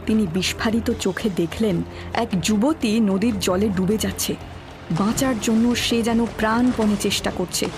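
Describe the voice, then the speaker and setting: A woman's voice: medium pitch, normal speed, over music. A woman giving scripted, dramatic narration for a story.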